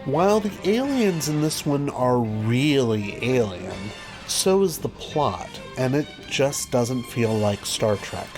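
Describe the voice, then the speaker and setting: Male reviewer, scripted commentary, mid-pitched voice, wry delivery, music underneath. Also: sing-song, takes it slowly. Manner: wry, dry asides. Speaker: video reviewer, host. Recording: music behind the voice. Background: background music.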